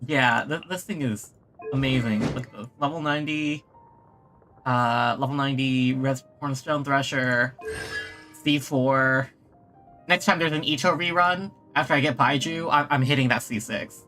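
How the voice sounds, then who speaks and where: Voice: medium pitch, fairly even intonation, normal speed, pushed and bright, faint music behind. A streamer giving casual, informal and reactive live commentary while playing a video game. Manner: informal, reactive, reads game lines aloud. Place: game stream, game music behind.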